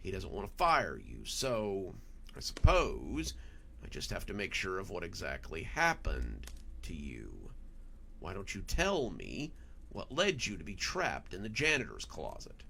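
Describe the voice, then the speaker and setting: A man's voice: deep voice, wildly swooping pitch, a little slow, creaky, pushed and bright, a little hiss or hum, voice pushed down, full character voice. A man voicing a stern, serious general manager in a horror story, weighing his words carefully. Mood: stern, measured. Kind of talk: audiobook horror story dialogue.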